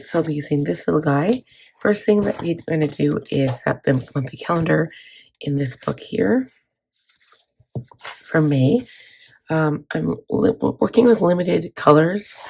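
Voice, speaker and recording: A woman's voice: low-pitched, vocal fry, darkish tone, slightly rough. A woman with a scratchy voice chatting casually to her channel, with frequent fillers and restarts. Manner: chatty, filler-heavy, self-correcting. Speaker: planner vlogger. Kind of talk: casual chat.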